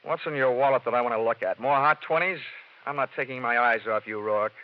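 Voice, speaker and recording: A man's voice: mid-range voice, fairly quick, slight background noise, lightly acted. A cocky, dry-witted American investigator played by a man in a vintage radio drama. He has a lighter-than-average voice and a clipped, punchy delivery. The sound is dull and old. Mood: cocky, firm. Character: wisecracking, tough male insurance investigator, dry and cocky. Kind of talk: 1950s radio detective acting with narration.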